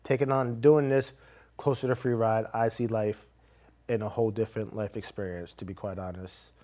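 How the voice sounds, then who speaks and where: A man's voice: fairly low pitch, fairly even intonation, moderate pace, mellow. A middle-aged man speaking earnestly as an interview guest in a small studio. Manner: earnest, heartfelt. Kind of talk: casual interview chat. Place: small TV studio with curtain backdrop.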